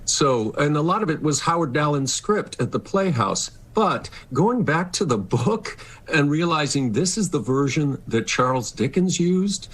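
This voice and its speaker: A man's voice: fairly high pitch, expressive, measured pace, fairly bright tone. A middle-aged or older man, a stage actor, talking earnestly and expressively as an interview guest on a remote call. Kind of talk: casual reflective interview talk. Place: remote video call, not in the studio.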